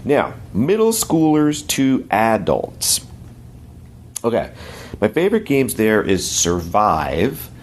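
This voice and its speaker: Middle-aged guy with a hoarse, gravelly deep voice, talking casually on a podcast and correcting himself as he goes. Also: huge pitch swings, slow pace. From United States. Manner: rambling, friendly, self-correcting. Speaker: podcast regular.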